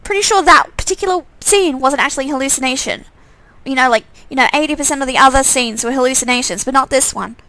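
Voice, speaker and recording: Woman playing an exasperated, uneasy grown-up daughter, creaky voice. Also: high-pitched, fast talker, bright, forceful tone. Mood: defensive. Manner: reluctant, exasperated.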